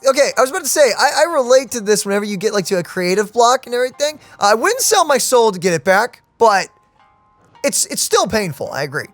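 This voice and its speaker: A man's voice: extremely high pitch, sing-song, fast talker, a bit bright, soft background music. A young man giving casual, jokey live commentary as he reacts to a video game. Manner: jokey, chatty. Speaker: gaming reaction streamer.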